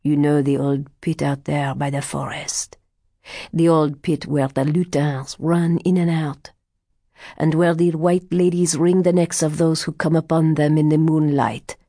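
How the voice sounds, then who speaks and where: A woman's voice: deep voice, steady tone, mellow, no room sound, studio quality. A woman voicing a withered old male fortune-teller in an audiobook, sly, superstitious and full of foreboding. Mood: ominous, slow. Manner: slow, exclamatory, foreboding, with muttered asides. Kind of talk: audiobook character dialogue.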